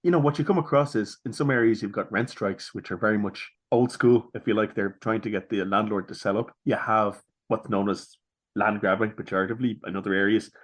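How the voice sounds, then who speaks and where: A man's voice: lowish voice, a little fast. A man hosting a conversational history interview, setting out informed context and questions. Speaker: podcast host.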